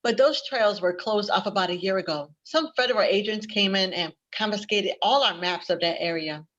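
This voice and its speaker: A woman's voice: mid-range voice, normal speed, fairly bright tone, lightly acted. A woman playing a friendly, helpful young librarian in a readers theater drama. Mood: chatty, confiding. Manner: helpful, chatty. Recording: slightly dull conference-call audio.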